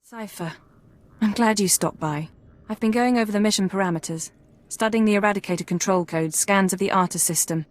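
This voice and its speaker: A woman's voice: mid-range voice, brisk, lightly acted. Woman acting a controlled, confident intelligence officer. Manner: crisp, formal, controlled. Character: self-assured, analytical female intelligence officer. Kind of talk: video game drama acting.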